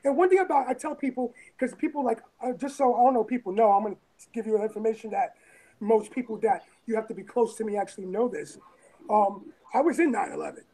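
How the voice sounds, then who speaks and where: A woman from Brooklyn speaks with a raspy, breathy voice. Her tone is somewhat high but dark and mellow. She talks in a rambling, emphatic interview style and repeats her phrases. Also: quick pace, rough recording. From Brooklyn, New York. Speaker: interviewee, former firefighter and EMT. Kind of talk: casual interview talk.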